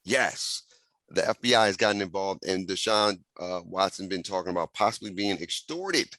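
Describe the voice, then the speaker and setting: A man hosting a podcast, relaxed and conversational, asking his co-hosts questions. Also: deep voice, moderate pace, no echo. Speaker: podcast host. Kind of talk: casual chat.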